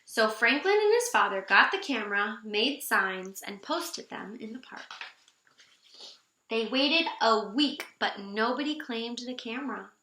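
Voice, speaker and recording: A woman reading a children's storybook aloud in an animated, expressive way for young listeners. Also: a little high, unhurried, reverberant.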